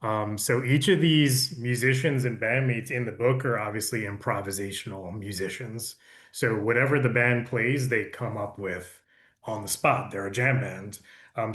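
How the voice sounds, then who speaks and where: A man's voice: mid-range voice, a bit bright. A man, an audiobook producer, casually explaining his work in an interview. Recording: echoey, roomy sound.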